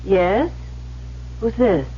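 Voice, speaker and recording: An actress playing a shy, lonely young receptionist in an old radio drama, polite and hesitant, on a muffled recording with hum. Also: female voice, medium pitch, expressive, drawn-out words. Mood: flustered, hopeful.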